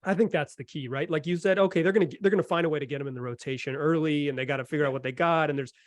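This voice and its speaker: Higher-voiced American man hosting, dry sound. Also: racing, dry recording. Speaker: podcast host. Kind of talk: casual sports talk.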